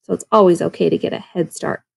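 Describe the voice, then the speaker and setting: A woman's voice: lowish voice, steady tone, quick pace, creaky, husky. A woman teaching second graders, explaining and addressing the pupils directly during a read-aloud lesson. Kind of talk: instructional read-aloud for young children.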